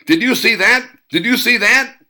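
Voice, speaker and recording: A man voicing a loud, indignant bleached-blonde woman who screams and repeats herself in an audiobook. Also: very high-pitched, normal speed, voice pushed up, exaggerated voice. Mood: shrieking.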